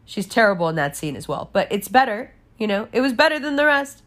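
A woman's voice: medium pitch, sing-song, a little fast, fairly bright tone. A woman giving a candid personal monologue in a blunt, emphatic way, with frequent swearing. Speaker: podcast and channel host.

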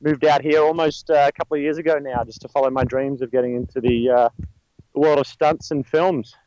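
A man's voice: mid-range voice, steady tone, fairly quick, darkish tone, dry recording, muffled recording. A man with an Australian accent, chatting as an interview guest, rambling with many fillers and restarts. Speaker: guest, stuntman. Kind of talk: casual interview talk.

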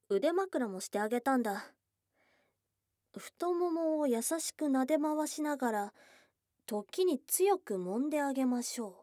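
A woman voicing a shy young goblin girlfriend in an intimate ASMR voice drama, blunt and tomboyish in wording but flustered and tender, with breathy murmurs close to the mic. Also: high voice, darkish tone, no room sound, full character voice. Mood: reading, bashful. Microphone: close ASMR microphone.

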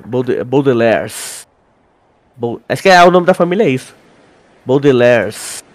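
A young man with a Brazilian accent chatting casually as a podcast co-host, in a slangy, halting way. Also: medium pitch, animated. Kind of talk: casual chat.

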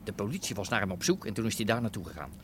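A man's voice: on the low side, lively intonation, rapid-fire, natural voice. An actor playing a scheming streetwise underworld man in a Dutch radio drama. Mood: confiding, persuasive. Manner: streetwise, colloquial. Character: scheming 1970s Rotterdam underworld man pitching a drug deal. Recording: noisy ambience with vehicle sounds.

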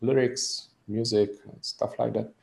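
A deep, gravelly man with a Hungarian accent talks as a guest in a relaxed interview. His voice sits low and dark, with a gruff edge. He explains things earnestly and at length, often restarting his sentences. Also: measured pace. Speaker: musician guest. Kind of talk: casual interview chat.

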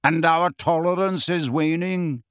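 A man voicing a proud, commanding male centaur in an audiobook, speaking formally in a measured, stately way. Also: a little high, animated, no room sound, clean studio sound. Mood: threatening.

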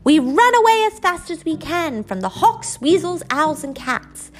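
A woman's voice: very animated, a little slow. A woman doing small earnest mouse children. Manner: high, earnest recital of lessons. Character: timid young meadow mice children, eager pupils.

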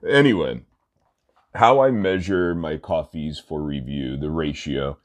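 A man with an extremely low, deep voice hosts a laid-back solo podcast. His nose is stuffed up from allergies. He drifts along with lots of "uh"s and corrects himself as he goes. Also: unhurried. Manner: rambling, many "uh" fillers and self-corrections; relaxed, smoking while talking. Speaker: podcast host. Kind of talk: casual solo podcast talk.

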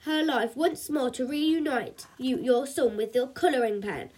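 A woman's voice: high voice, measured pace, darkish tone, husky. A woman voicing a boastful mother who plays a daring superhero, making grand, bombastic proclamations in a storybook reading. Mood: grandly proud.

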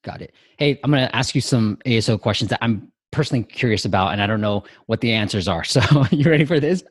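A man's voice: on the low side, animated, fast talker. A man hosting a podcast interview, asking questions in a casual, upbeat way. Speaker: podcast host.

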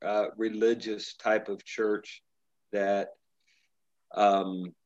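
A man's voice: lowish voice, flat delivery, extremely slow, a little mellow, lo-fi sound. A middle-aged pastor from Indiana, chatting casually in an interview and telling his story with many restarts. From Indiana, USA. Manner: rambling storyteller, frequent restarts.

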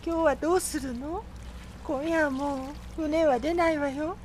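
Actress as tender, high-voiced young mother. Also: fairly even intonation, a little slow, a little hiss or hum. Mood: kind, wistful. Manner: motherly, soft feminine phrasing. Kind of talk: radio drama dialogue.

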